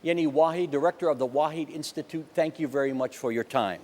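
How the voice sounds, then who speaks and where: A man working as a news anchor, asking interview questions and reading closing lines in a measured, scripted way. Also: a little high, darkish tone.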